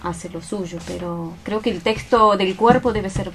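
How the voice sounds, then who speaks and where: A woman's voice: mid-range voice, unhurried, noisy background. A woman with an Argentine accent speaking informally as a guest in a radio interview. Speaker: guest, mime artist and writer. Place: radio studio.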